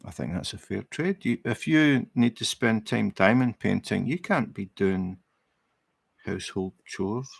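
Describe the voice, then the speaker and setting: A middle-aged Scottish man chatting casually on a livestream while he crafts, relaxed and rambling, with many fillers and restarts. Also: lowish voice, a little slow, creaky, breathy edge, no room sound, studio quality. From Scotland. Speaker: livestream host. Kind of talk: casual chat while crafting, reading viewer comments.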